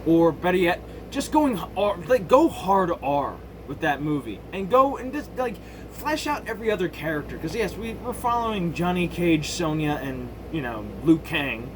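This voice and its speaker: A man with a slightly high voice talks off the cuff in casual, opinionated commentary. He stops, restarts and circles back on his points, with blunt slang and swearing. Clear background noise runs under the recording. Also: very animated. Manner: halting, self-interrupting, circling back to rephrase. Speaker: video game movie commentator.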